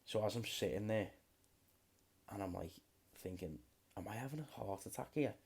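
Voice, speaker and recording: A man's voice: lowish voice, normal speed, vocal fry, a little mellow, slightly rough. A man in his late twenties, hosting a podcast alone in a rambling, swear-heavy casual chat. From United Kingdom. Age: late 20s. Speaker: podcast host.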